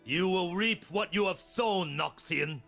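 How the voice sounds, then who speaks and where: An actor playing a stern, wise blind warrior monk in a video game, speaking in solemn, measured aphorisms with dry humor. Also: male voice, high-pitched, creaky, sharp tone, faint music behind, full character voice. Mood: defiant.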